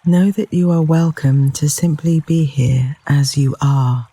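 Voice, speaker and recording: A man leading a guided yoga nidra meditation in a gentle, soothing, unhurried manner with long pauses between phrases. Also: a little high, slightly rough, dry recording. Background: flowing river water sounds.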